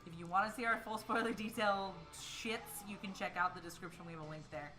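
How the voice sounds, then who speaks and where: A woman with a bright, keen-edged voice co-hosts a casual movie show while tipsy. She chats in a loose, giggly, sweary way. Faint music plays behind her. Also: medium pitch, fairly even intonation, brisk, poor audio. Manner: giggly, chatty. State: tipsy from beer.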